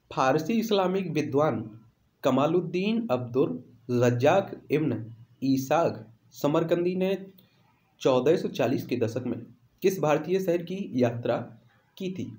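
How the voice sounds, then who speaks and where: A man's voice: on the high side, lively intonation, measured pace, pauses often, darkish tone. A man teaching a quiz lesson, repeating questions and answers and checking in with listeners. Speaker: exam-prep teacher. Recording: roomy, echoing voice recording.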